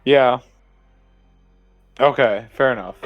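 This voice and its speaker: A man's voice: medium pitch, takes it slowly, dry recording, lo-fi sound. A man playing a tabletop roleplaying game, chatting and bantering casually in character. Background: faint music.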